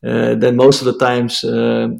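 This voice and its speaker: A man with a Dutch accent, a native Dutch speaker, explaining a technical topic as an interview guest, halting with many fillers and restarts. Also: mid-range voice, completely flat delivery, vocal fry. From Netherlands.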